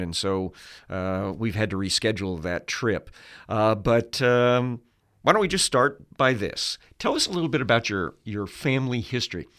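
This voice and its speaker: A man's voice: mid-range voice, fairly bright tone. A man hosting a Bible teaching program, welcoming listeners and interviewing a guest in a studio, with many fillers as he talks. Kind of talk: hosted interview.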